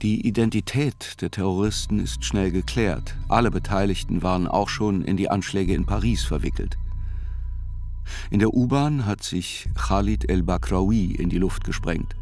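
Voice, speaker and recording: A man narrating a documentary radio drama as a sober chronicler, in a measured, precise and reflective way. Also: sits low, darkish tone. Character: male chronicler soberly reconstructing terror attacks. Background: faint music.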